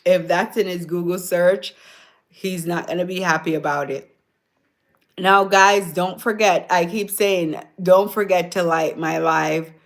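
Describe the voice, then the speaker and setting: Jamaican lady chatting on her livestream, slow and easy, reading comments. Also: lowish voice, fairly even intonation, creaky. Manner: chatty, answers comments, laughs often. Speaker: livestream host. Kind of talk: casual live-stream chat with viewers.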